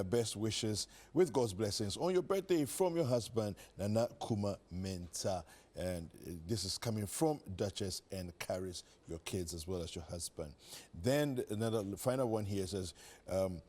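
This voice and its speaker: Ghanaian guy hosting morning tv, lowish voice bit rough, keeps backtracking. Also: a little mellow. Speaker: TV breakfast show host. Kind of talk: live morning show hosting. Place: TV studio set.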